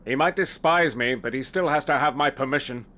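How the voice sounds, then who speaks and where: A man's voice: medium pitch, brisk, bright, forceful tone, natural voice. An actor playing a middle-aged, world-weary but caring godfather in a video game, with a British accent. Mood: brooding. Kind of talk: video game cutscene acting.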